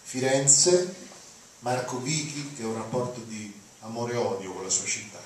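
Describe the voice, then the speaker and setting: A man introduces readings in a halting way as presenter. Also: mid-range voice. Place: auditorium with audience. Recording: echoey hall, distant, room noise.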